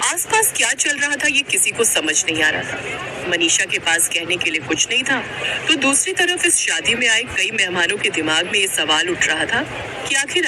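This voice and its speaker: A woman's voice: medium pitch, noisy background. A woman giving scripted narration as the storyteller of a Hindi cartoon tale.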